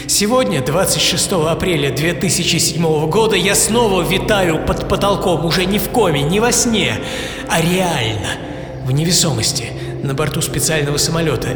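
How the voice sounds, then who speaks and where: A man's voice: a little high, natural voice. A man playing a witty, ironic English physicist reflecting on his life in a theatrical radio monologue. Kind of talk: dramatised first-person monologue, radio play.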